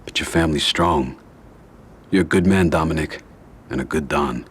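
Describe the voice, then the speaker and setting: Low-voiced, calculating mafia boss. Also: male voice, a little hiss or hum. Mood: measured. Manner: measured, advising. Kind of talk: video game crime drama acting.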